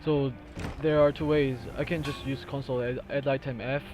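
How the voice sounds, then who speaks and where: A man's voice: mid-range voice, flat delivery, darkish tone, highs cut off. Korean-accented man narrating gameplay. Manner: halting, with fillers and restarts, laughs now and then. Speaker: gamer recording a tutorial. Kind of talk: casual gameplay commentary. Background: game music and sounds.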